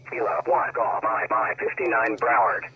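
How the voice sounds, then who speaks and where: A man's voice: mid-range voice, steady tone, moderate pace, gravelly, highs cut off. A man heard through a radio receiver, giving clipped contest exchanges as an amateur operator. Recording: noisy crackly shortwave radio audio.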